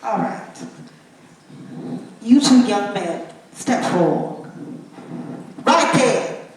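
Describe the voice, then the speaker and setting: A woman on stage playing a gleefully cruel, bigoted judge, mocking and commanding in a drawn-out theatrical delivery. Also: medium pitch, lively intonation. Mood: stern, commanding. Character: gleefully cruel racist judge handing out harsh sentences. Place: large indoor stage venue. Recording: echoey theater, distant mic, hissy noise.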